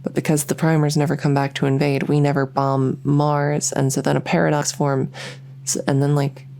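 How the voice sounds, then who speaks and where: A woman's voice: sits low, flat delivery, normal speed, vocal fry, dark tone. A woman voicing a lonely, socially awkward vampire who rambles enthusiastically in an ASMR audio roleplay. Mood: earnest rambling. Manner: rambling, enthusiastic info-dumping, many fillers. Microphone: close ASMR microphone.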